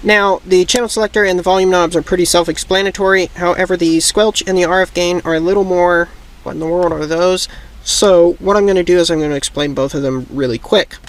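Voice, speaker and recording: A man's voice: sits high, steady tone, fairly quick. A man hosting a how-to video, explaining things methodically, step by step, in a casual instructional style.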